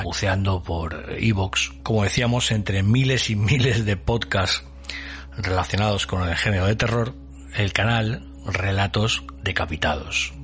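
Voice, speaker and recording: A man presenting a podcast as host, narrating a scripted introduction with measured, colloquial phrasing and dramatic pauses. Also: on the low side, a bit bright, a little hiss or hum.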